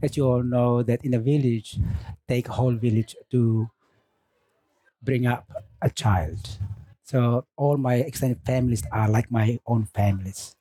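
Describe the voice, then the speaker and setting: A middle-aged man from Indonesia, speaking English as a later language, answering interview questions as a teacher in a thoughtful, unhurried way. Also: mid-range voice, fairly even intonation, mellow, no room sound. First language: Lamaholot. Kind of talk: relaxed radio interview. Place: studio.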